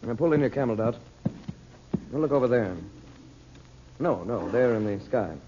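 An actor playing a calm, firm, reassuring adventurer uncle in an old radio drama. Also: male voice, mid-range voice, moderate pace, close to an everyday voice. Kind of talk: 1930s-40s radio acting. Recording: muffled, hissy old radio recording.

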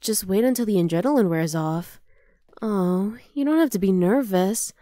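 A woman's voice: mid-range voice, animated, normal speed, warm tone, no echo, natural voice. Close, dry, teasing half-dragon girlfriend voiced by a woman. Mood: teasing, gentle. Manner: casual, coaxing, affectionate teasing. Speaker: ASMR roleplay voice actor. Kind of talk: ASMR roleplay acting.

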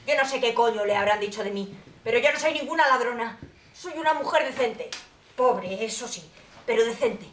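An actress from playing a poor, lonely, half-mad old woman who pleads slowly on the phone, turning between bitterness and tears. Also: a little high, expressive, echoey room, far from the mic, a little hiss or hum, lightly acted. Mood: bitter, lonely. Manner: slow, pleading, swinging between bitter and tearful. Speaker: actress in a monologue. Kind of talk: stage monologue.

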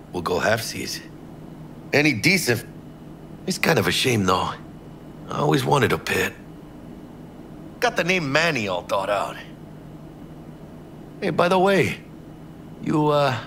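Gravelly, animated Mexican American street smuggler. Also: male voice, mid-range voice, unhurried, long pauses, slight background noise, exaggerated voice. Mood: friendly, chatty. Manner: slangy, emphatic, mixes in Spanish swearing. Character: brash, friendly streetwise male smuggler in cyberpunk game. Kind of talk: video game voice acting.

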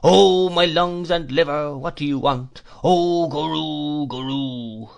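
A man voicing a fierce, drunken old shopkeeper from a storybook, in a monotonous sing-song whine with a rattle in the throat. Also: on the high side, gravelly, voice pushed up. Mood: fierce whining. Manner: monotonous sing-song that swells and falls, drawn-out exclamations. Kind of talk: storybook character voice.

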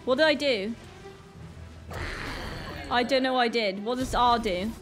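A young woman with a Northern Irish accent talks through a game, sounding sick and a little off. She is easily flustered and exclaims often, speaking slowly in a slightly high voice, with faint music behind. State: sick, voice a bit off. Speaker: gaming YouTuber. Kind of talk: casual let's-play commentary.

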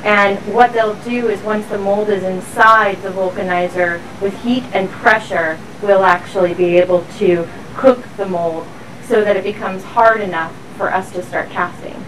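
A woman's voice: medium pitch, steady tone, moderate pace, a bit bright. A woman explaining things step by step as a factory tour guide. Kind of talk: explanatory walk-through tour. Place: busy factory floor. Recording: factory noise, somewhat distant mic. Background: machinery and workshop noise.

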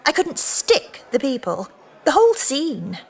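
A woman's voice: high-pitched, wildly swooping pitch, measured pace, creaky, heavily acted. An actress playing a brash, teasing British woman of about forty in an audio drama, with a blunt, mocking, flirtatious manner. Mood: frank. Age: middle-aged.

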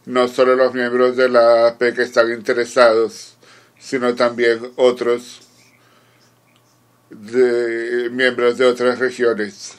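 Even-toned man interpreting, halting phrases. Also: mid-range voice, clean tone. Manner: measured, halting delivery in short phrases with pauses. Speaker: conference interpreter. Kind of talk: simultaneous interpretation of a formal panel discussion.

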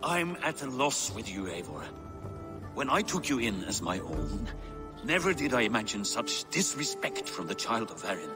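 A man's voice: on the low side, big pitch swings, normal speed, music in the background. A man voicing an aggrieved older Viking king in a video game, stern and commanding, with formal, reproving speech. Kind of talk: dramatic video game cutscene acting.

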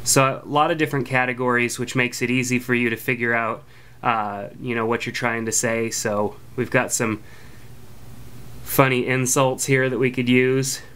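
Bright, smooth-voiced male podcast host. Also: medium pitch, normal speed. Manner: relaxed, filler-heavy, friendly. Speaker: podcast host reviewing an app. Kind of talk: casual product review voice-over.

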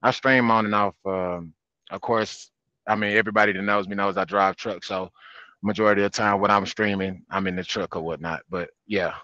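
A man, talking in a relaxed, easygoing way as a streamer who drives a truck. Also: fairly low pitch, a little fast, no room sound, lo-fi sound. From Houston, Texas. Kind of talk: casual chat.

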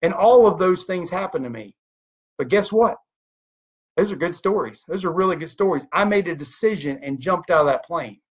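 A man's voice: high-pitched, normal speed, slightly rough. A man of thirty-nine giving an emphatic motivational talk to a webcam from a home office, full of rhetorical questions. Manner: emphatic, animated hand gestures, rhetorical questions. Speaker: network marketing leader. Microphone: webcam at desk. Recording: dull lo-fi webcam audio.

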